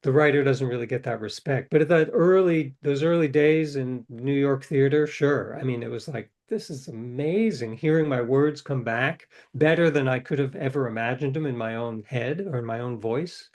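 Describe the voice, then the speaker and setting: A man's voice: mid-range voice, moderate pace, few pauses, a little mellow. A middle-aged man, a writer being interviewed, telling stories in a reflective, anecdotal way. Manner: reflective, anecdotal, hesitant restarts. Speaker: guest author. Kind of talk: interview storytelling.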